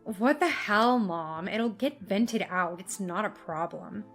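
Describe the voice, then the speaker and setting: A woman's voice: medium pitch, expressive, slow pace, a bit bright, faint music behind. A woman voicing an insolent grown son in a dramatized story, dismissive, whiny and drawling.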